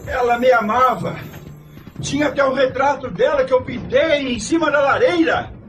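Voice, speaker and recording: A very high-pitched soldier, played by a man, hurls heated accusations in a radio drama. He sounds jealous and declamatory, set back in a noisy battle scene. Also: moderate pace, far from the mic. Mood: jealous anger. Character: furious young soldier violinist, jealous rival in battle.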